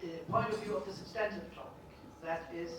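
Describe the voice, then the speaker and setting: A middle-aged woman speaking loudly at a policy conference, pausing often with fillers and restarts. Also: fairly low pitch, fairly even intonation. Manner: hesitant, many fillers and restarts; loud projecting voice. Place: large conference hall. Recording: echoey hall from far off, noisy.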